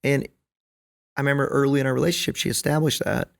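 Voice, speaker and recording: A man's voice: medium pitch, fairly even intonation, fast talker, gravelly. A middle-aged man talking earnestly and emphatically as a podcast guest, telling anecdotes and often checking in with the listener. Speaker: podcast guest, basketball coach. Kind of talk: conversational podcast interview.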